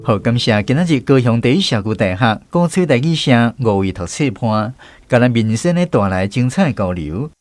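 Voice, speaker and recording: A man's voice: medium pitch, expressive, normal speed, few pauses, darkish tone, no room sound. A man with a Taiwanese accent hosting a radio programme, delivering scripted thanks and introductions. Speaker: radio host. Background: guitar music.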